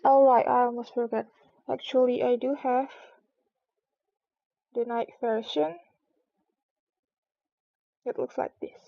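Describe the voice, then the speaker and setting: A woman streamer with a high voice and little rise or fall in it. Her delivery is casual, suited to chatting or reading a story aloud. The recording sounds a bit dull and muffled. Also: little pitch movement, slow, gravelly, long pauses, dry recording.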